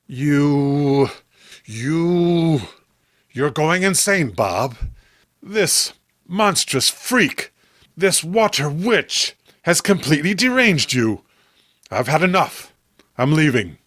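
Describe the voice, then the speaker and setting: Man acting horrified, melodramatic comic-book man, very animated. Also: fairly high pitch, takes it slowly, no echo, studio quality, full character voice. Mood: appalled, indignant. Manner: melodramatic, formal, emphatic. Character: horrified, indignant man confronting his deranged friend. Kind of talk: horror comic read-along acting.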